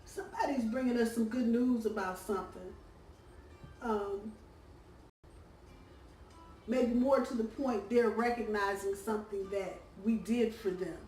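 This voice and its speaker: Hoarse woman reading tarot, distant mic. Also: on the high side, measured pace, pauses often, soft background music. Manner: chatty, warm-hearted, lots of ums and uhs. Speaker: tarot reader. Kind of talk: casual weekly tarot reading. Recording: roomy, distant from the mic, faint background hum.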